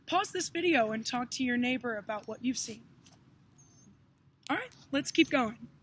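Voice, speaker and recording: A young woman with a high voice talks directly to children as a read-aloud presenter. She is encouraging and teacherly, and some background noise is audible. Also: lively intonation, normal speed. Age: young adult. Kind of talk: instructional address to young children.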